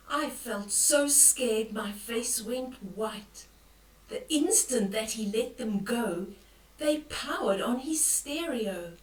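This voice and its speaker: Unhurried older woman reading a bedtime story. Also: fairly high pitch. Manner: expressive and dramatic, dropping into hushed whispers and exclamations. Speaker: storyteller reading a picture book aloud to children. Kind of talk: bedtime read-aloud with asides on the pictures. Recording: roomy echo, distant from the mic.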